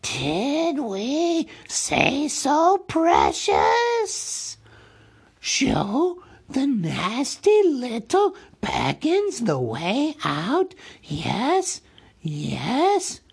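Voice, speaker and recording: Slimy scheming cave creature: shrill, hissing and whining, slow and strained. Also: male voice, huge pitch swings, gravelly, slightly rough, full character voice. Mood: sly hissing. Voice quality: hissing, whiny, high and strained.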